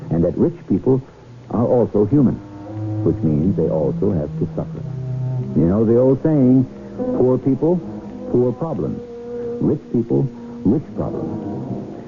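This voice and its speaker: A man playing a sardonic mystery-show storyteller, giving scripted narration in a wry, measured, theatrical way. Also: on the low side, sing-song. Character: sardonic storyteller host of a mystery radio play. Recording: muffled old radio with loud music.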